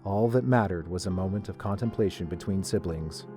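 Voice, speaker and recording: A man's voice: deep voice, fairly quick, warm tone. A man narrating a scripted story as the narrator. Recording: dry voice with music behind.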